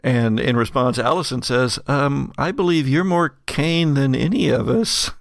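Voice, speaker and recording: A man narrates a tabletop roleplaying game as its game master. His mid-pitched voice is very creaky and gravelly. He tells it in a halting, conversational way, recorded close and dry. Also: no echo.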